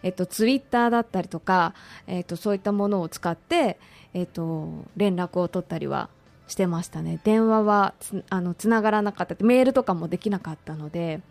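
A woman's voice: lowish voice, brisk, darkish tone. A woman talking conversationally as a radio guest, politely and expressively sharing personal recollections.

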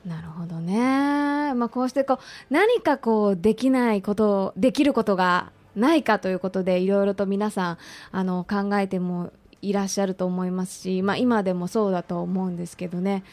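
A woman's voice: medium pitch, brisk, hardly any pauses, a little mellow. A woman interviewing conversationally, with frequent attentive listening responses. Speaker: radio interviewer.

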